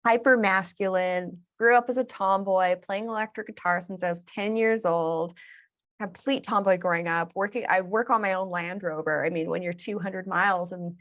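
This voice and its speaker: A woman's voice: medium pitch, steady tone, normal speed. A woman speaking as a guest in a podcast conversation, talking in a reflective way and offering advice to listeners. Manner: reflective and advice-giving, with soft laughs between points. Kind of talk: casual conversational interview.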